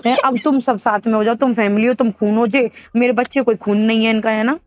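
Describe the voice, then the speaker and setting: High-pitched aggrieved woman on a muffled call. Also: fairly even intonation, fast talker, a little mellow, no room sound. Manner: aggrieved, insistent, repeating herself. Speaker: caller in a private phone conversation. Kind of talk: emotional casual phone chat. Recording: dull lo-fi phone call recording, music behind.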